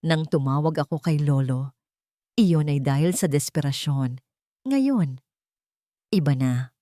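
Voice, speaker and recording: A woman storyteller with a Filipino accent voicing a wronged young woman, soft but steady and coldly determined. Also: deep voice, a little slow, no echo, clean studio sound. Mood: cold resolve.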